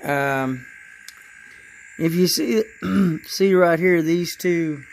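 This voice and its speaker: A man with a raspy voice demonstrating his model trains in a casual, halting way, with many fillers and repeated words. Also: a little high, a little hiss or hum, poor audio. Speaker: model railroad hobbyist.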